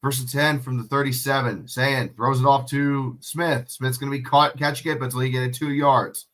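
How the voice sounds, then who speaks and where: A man's voice: medium pitch, little pitch movement, moderate pace, a bit bright. Sniffly sick guy calling football casually. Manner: swearing, chatty, answering chat questions. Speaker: livestream play-by-play commentator. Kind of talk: casual solo play-by-play commentary.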